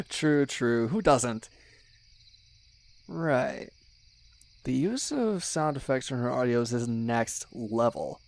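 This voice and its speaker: A man acts out a young guy as the listener's loyal best buddy in an audio roleplay. He has a medium-pitched voice and talks in slangy, teasing banter, stumbling now and then as he goes. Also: very animated, very slow, vocal fry, pauses often, darkish tone, heavily acted. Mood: admiring, nostalgic. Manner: chummy, teasing, slangy, with hesitations and exclamations. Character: teasing, loyal young male best friend, excitable ASMR fan. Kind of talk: ASMR roleplay, one-sided casual banter with the listener.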